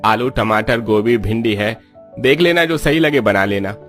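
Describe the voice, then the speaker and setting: A man's voice: on the low side, moderate pace, fairly bright tone, soft background music, lightly acted. A man playing a poor, hard-working village husband in a story, plain-spoken, emphatic and easily exasperated. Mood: matter-of-fact.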